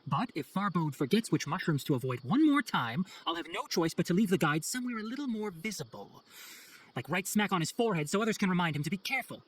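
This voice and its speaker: A man's voice: high voice, big pitch swings, quick pace, a bit bright, full character voice, rough recording. An actor playing a clever, exacting young male chief forest ranger in a video game, articulate and instructive with dry sarcasm. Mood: dryly sarcastic. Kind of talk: video game cutscene acting. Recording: faint music behind the voice.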